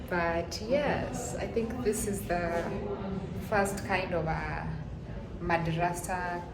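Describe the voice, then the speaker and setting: Slow, soft-spoken woman vlogging. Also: fairly low pitch, heavy background noise. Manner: unhurried, soft-spoken, light laughs between phrases. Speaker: travel vlogger. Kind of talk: casual travel vlog.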